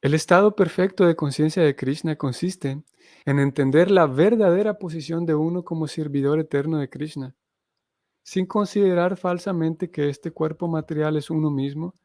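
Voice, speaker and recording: Higher-pitched man with creaky voice. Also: moderate pace, darkish tone, no echo, clean studio sound. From Honduras. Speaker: Hare Krishna missionary teacher. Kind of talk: spiritual lecture, reading scripture aloud and explaining it.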